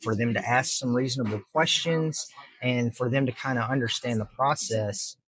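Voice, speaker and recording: A man's voice: mid-range voice, moderate pace, fairly bright tone. A middle-aged man, a judge answering interview questions earnestly and patiently in plain terms, with lots of fillers. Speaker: district judge, guest.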